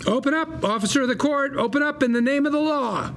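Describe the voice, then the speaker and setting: A desperate hustler of a lawyer, pleading and exclaiming in an urgent push. The voice sits very high with creaky edges, in a room with clear background noise. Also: male voice, quick pace, fairly bright tone, full character voice. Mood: blustering. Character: desperate fast-talking lawyer, a scheming male hustler. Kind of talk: table read acting. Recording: noisy table read room.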